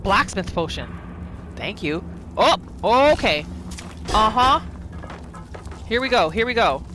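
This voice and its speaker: A woman narrating a roleplay game as its host, casual and expressive, reacting aloud as she plays. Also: mid-range voice, slow, faint music behind. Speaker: gaming video host. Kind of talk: casual roleplay gameplay commentary. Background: game ambience.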